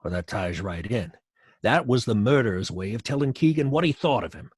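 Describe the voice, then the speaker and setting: An actor playing a bossy, irritable middle-aged police commissioner in an old-time radio crime drama, brusque and commanding. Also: male voice, lowish voice, animated, fairly quick, a little mellow, slightly rough, no echo, studio quality. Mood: brisk, certain.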